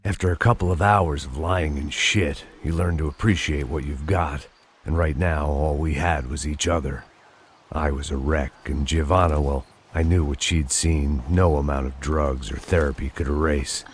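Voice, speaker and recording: An actor playing a world-weary, middle-aged American action hero in a video game, with a wry, fatalistic deadpan delivery. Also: male voice, a bass voice, moderate pace. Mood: bitter, resigned. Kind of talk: noir scripted narration and cinematic game dialogue. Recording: loud music and noisy ambience behind. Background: loud music, ambient noise.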